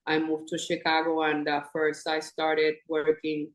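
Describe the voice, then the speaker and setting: A woman's voice: deep voice, monotone, unhurried, echoey room, rough recording. A woman in her fifties with a Puerto Rican accent, a guest chatting freely, laughing often and restarting her sentences. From Puerto Rico. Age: 50s. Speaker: podcast guest. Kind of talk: casual interview chat.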